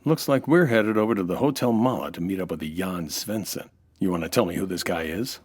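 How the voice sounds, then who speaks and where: A man's voice: low voice, brisk, slightly rough. Wry American noir narrator played by a man. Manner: dry, wry, deadpan. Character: wry, world-weary hardboiled American nightclub owner, detective-style narrator. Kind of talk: 1940s radio noir narration and dialogue.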